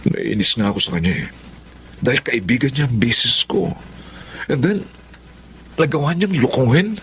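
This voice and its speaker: An actor with a Filipino accent playing an upright, uneasy husband in a radio drama, with faint noise behind. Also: male voice, mid-range voice, sing-song, moderate pace, fairly bright tone, husky, exaggerated voice. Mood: indignant, worried.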